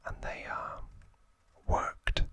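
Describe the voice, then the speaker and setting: A man whispering softly in a breathy, unhurried ASMR style as he explains trivia informally, pausing between short phrases. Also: no echo. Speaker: ASMR video host.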